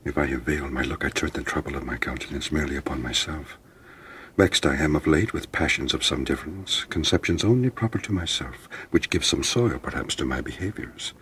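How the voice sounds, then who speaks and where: An actor playing a troubled, reserved Roman nobleman in a classical radio drama, with grave, measured verse delivery. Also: extremely low pitch, fairly bright tone, a little hiss or hum, rough recording.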